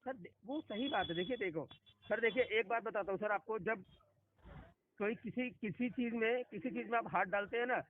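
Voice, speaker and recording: A man's voice: high-pitched, moderate pace. A man working as a loan company phone agent, arguing insistently and often restarting and repeating his phrases. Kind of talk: argumentative phone conversation. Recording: noisy narrowband phone call recording.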